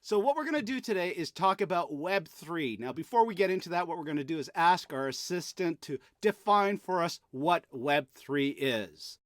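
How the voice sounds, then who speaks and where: Animated male podcast host, lively pitch swings. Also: normal speed, hardly any pauses, no echo. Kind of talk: conversational explainer talk with co-host.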